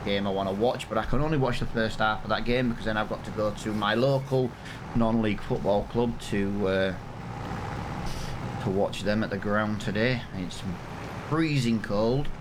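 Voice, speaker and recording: English man in his thirties, lowish voice, chatty game commentary, steady fan hum behind. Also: moderate pace. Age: 30s. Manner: chatty, rambling, self-mocking. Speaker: gaming channel host. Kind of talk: casual let's-play commentary.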